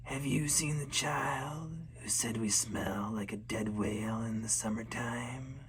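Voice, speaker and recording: A man voicing menacing creatures that live under the sea ice, in a slow, breathy whisper. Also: mid-range voice, slight background noise, close to an everyday voice. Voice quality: breathy whisper, like snow blowing over ice. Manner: slow, drawn-out, sing-song when chanting.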